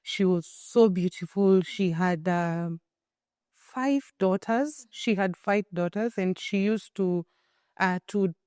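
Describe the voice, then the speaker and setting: A Kenyan woman in her thirties, telling her life story as an interview guest in an earnest, expressive way with many restarts. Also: on the low side, takes it slowly, clean tone, no echo, clean studio sound. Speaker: guest, shelter founder.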